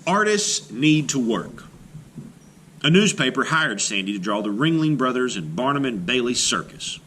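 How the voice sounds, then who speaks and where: A man reading a children's picture book aloud in an expressive storybook manner, slowing down on key phrases. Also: medium pitch, a little hiss or hum.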